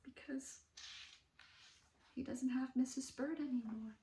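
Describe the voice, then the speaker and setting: A woman reading aloud to young children as host, expressive and dramatically emphatic, pausing to ask questions. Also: sits high, heavy background noise. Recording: roomy echo, distant mic.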